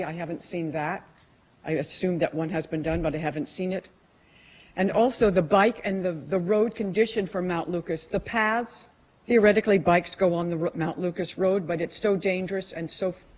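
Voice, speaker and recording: A woman resident with a big voice speaks at a council meeting's public comment, pleading forcefully with some restarts. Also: on the low side.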